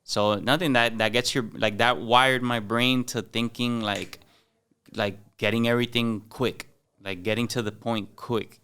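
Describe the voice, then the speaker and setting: A man's voice: mid-range voice, fairly even intonation, measured pace, pushed and bright, clear, resonant tone. A young man from Miami with a Cuban-American accent, chatting casually as a podcast guest, slangy and loose, dropping in Spanish words. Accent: Miami Cuban-American English. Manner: loose slangy talk mixing in Spanish, many "like"s and "bro"s. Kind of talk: casual chat.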